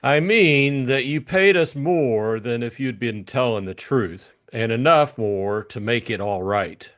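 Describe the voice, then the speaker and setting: A man's voice: medium pitch, measured pace, fairly bright tone, close to an everyday voice. A man playing a cool, cynical private detective in an audiobook, speaking in a blunt, sardonic, matter-of-fact way. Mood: dry, cynical. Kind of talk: audiobook character acting.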